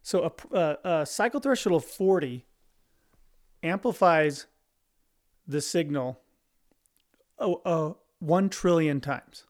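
A man's voice: a little high, animated, slow pace, pauses often. A man co-hosting a podcast in casual discussion, talking emphatically and often repeating and restarting his phrases. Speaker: podcast co-host.

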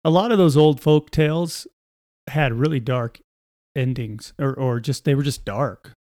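A man co-hosting a casual podcast, a little hoarse and raspy, talking in a wry, joking way with many fillers. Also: mid-range voice, measured pace, a little mellow, no room sound, clean studio sound. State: hoarse from yelling. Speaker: podcast co-host.

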